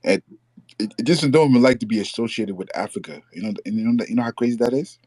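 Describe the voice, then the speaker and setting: A man's voice: mid-range voice, fast talker, a little mellow, no echo. A man chatting as a podcast guest, rambling with many fillers and restarts. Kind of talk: casual podcast chat.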